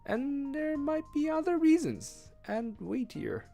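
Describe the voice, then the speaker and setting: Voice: high voice, wildly swooping pitch, takes it slowly, vocal fry, dark tone, faint music behind, pitched above the performer's own voice, full character voice. A storyteller voicing a timid, frightened young page boy who pleads and trembles in old-fashioned speech. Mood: fearful.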